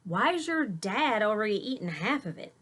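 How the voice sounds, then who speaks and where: A woman's voice: mid-range voice, very animated, moderate pace, a bit bright, slight background noise. A woman voicing a friendly, curious young neighbor boy in a storybook, with a questioning, emphatic manner. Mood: puzzled.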